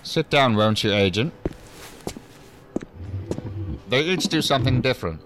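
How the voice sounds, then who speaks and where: A man acting a jaded male professor in a sci-fi audio drama. He lectures in wordy, condescending sentences with dry sarcasm. His pitch sits in the middle range, with faint music behind him. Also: animated, a little fast, natural voice. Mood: sardonic, lecturing. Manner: pompous, wordy, sardonic.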